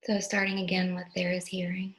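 A woman meditation teacher leading a guided meditation, speaking softly and gently in unhurried phrases with long pauses. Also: lowish voice, monotone. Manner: gentle, instructional, soothing.

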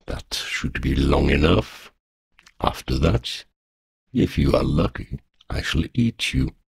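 A man reading a story, voicing a huge female dragon who speaks slowly with smug, rumbling menace. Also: animated, vocal fry, breathy edge, no echo, studio quality, full character voice. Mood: gloating. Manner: slow, rumbling, gloating.

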